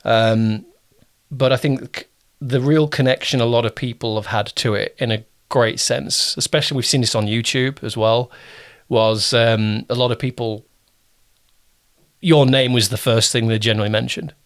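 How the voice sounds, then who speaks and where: A man's voice: medium pitch, steady tone, moderate pace. A man hosting a casual interview as a producer, talking informally and often rephrasing himself as he goes.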